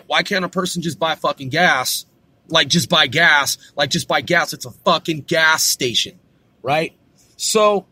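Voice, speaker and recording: A man's voice: on the high side, pushed and bright, husky, no room sound. Swearing man ranting from his car. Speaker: podcast host. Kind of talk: profane rant while driving. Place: in a car on the road.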